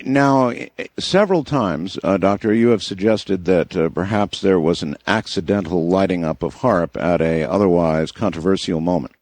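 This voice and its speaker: A man hosting a late-night radio interview, posing questions with hesitant, deliberate phrasing. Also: low-pitched, darkish tone, dry recording. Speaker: radio talk show host.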